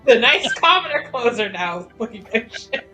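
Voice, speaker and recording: A woman's voice: on the high side, big pitch swings, moderate pace, sharp tone, soft background music, low-quality recording. A woman chatting casually as she plays a tabletop roleplaying game.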